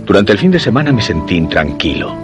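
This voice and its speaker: A man's voice: fairly low pitch, big pitch swings, quick pace, loud music behind the voice. An actor with a Castilian Spanish accent playing a brooding, obsessive man narrating his diary in a radio drama. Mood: brooding, wistful. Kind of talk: first-person dramatic narration.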